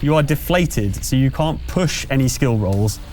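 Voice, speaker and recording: A man with an average-pitched voice runs a tabletop horror game. He improvises description and rules talk, pausing and drawing out phrases as he goes. Music plays faintly behind him. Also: lively intonation, darkish tone.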